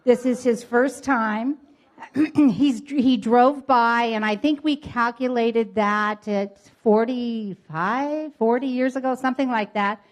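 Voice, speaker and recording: A woman introducing a guest speaker at a club meeting, chatty and a little halting. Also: fairly high pitch. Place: meeting hall.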